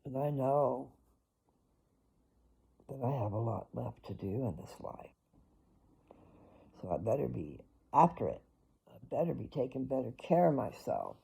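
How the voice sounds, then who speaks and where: Gravelly, husky older woman vlogging. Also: rumbling low, big pitch swings, measured pace, long pauses, mellow, rough recording. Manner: rambling, chatty, talks straight to viewers. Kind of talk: casual personal vlog.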